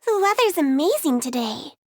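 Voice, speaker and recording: A woman's voice: sits high, big pitch swings, normal speed, dry recording, clean studio sound. A woman voicing a small, cheerful, childlike creature in a video game, naive, trusting and forgetful, with innocent exclamations. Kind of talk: video game character acting.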